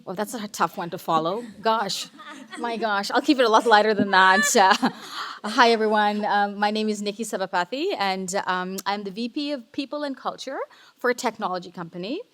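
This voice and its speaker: A woman in her forties from Sri Lanka, speaking on a panel in a lively, chatty, informal way. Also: mid-range voice, moderate pace. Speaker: panelist, tech company executive. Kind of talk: casual panel talk.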